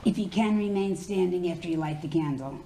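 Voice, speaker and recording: A woman presenting a ceremony, calling people up in a measured way with short introductions. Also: on the low side, background noise. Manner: measured, announcing names and short bios. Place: hall at a memorial ceremony. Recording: echoey hall, mic at a distance, faint ambience.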